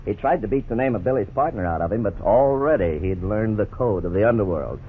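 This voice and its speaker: A man with a deep, low voice narrates in a grave, measured way, in scripted 1950s radio style. The sound is thin and phone-like, with faint background hiss. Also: expressive. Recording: tinny old radio broadcast, faint hiss.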